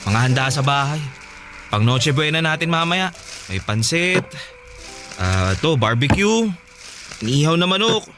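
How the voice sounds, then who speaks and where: Young son in a radio drama, eager n pleading w his dad, super expressive, music under. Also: fairly high pitch, unhurried, pauses often. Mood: casual, chatty. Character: devoted youngest son, young, cheerful and insistent. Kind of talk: Filipino radio drama acting. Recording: music playing behind.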